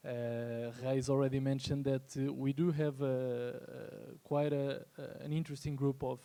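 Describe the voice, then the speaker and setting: A man answering on a discussion panel in a halting, formal and polite manner. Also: mid-range voice, flat delivery, creaky, mellow. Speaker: trade agency representative, panelist. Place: live panel at a symposium.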